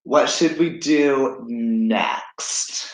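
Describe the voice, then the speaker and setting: Slow, drawling young man vlogging. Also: medium pitch. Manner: slow, rambling, self-questioning musing. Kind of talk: casual reaction vlog. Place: at home.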